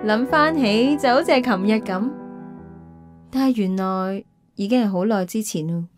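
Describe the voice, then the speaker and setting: A woman's voice: mid-range voice, moderate pace, lightly acted. An actress playing a reflective, wistful single career woman in a radio drama, with a Hong Kong Cantonese accent. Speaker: lead actress and narrator. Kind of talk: radio drama narration and dialogue. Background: background music.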